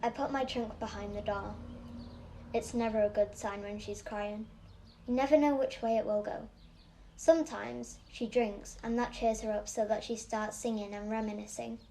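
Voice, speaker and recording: A watchful, literal-minded eight-year-old girl from in a radio-style monologue. The voice is soft-toned and a little high, with an earnest, matter-of-fact delivery. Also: fairly even intonation, moderate pace, creaky, a little hiss or hum, low-quality recording. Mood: watchful, subdued, then wary. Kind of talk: audio play monologue.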